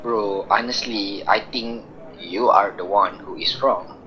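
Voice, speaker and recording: A man's voice: on the low side, steady tone, a little slow, sharp tone. A man playing a frank, reasonable best friend in an amateur radio drama, candid and informal. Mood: frank advice. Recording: dull, slightly noisy recording.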